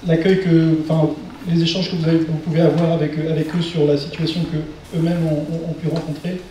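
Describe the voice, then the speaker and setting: A man is heard from a distance in a very reverberant room. His voice is soft-edged and mellow as he moderates a press conference, pausing to search for words. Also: fairly high pitch, flat delivery, slight background noise. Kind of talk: moderating, introducing and questioning a speaker. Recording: very echoey room, distant mic.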